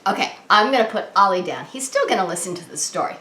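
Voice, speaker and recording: A woman's voice: mid-range voice, expressive, fast talker, bright, forceful tone, slight background noise. A woman hosting story time as a preschool teacher, talking simply and expressively to small children with frequent questions. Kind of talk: children's read-aloud and singalong. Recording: roomy, echoey room sound.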